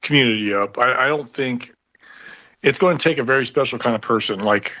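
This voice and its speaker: A man in casual conversation as a show guest, speaking in a halting way. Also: medium pitch, pushed and bright, dull audio. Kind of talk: casual chat.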